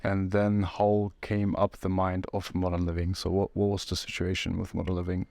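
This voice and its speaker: A man's voice: low-pitched, completely flat delivery, normal speed, gravelly, darkish tone, no room sound. A man from northern Germany hosting a podcast, asking questions in loose, rambling phrasing. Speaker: podcast host, company lead. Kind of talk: casual podcast interview.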